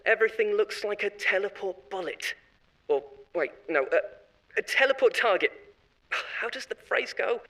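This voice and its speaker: Glib, chuckling corporate insider. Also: male voice, high voice, pushed and bright, heavily acted, low-quality recording. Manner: chuckling, glib, self-justifying. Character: flippant male corporate insider confessing dark secrets. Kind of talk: horror audio drama monologue.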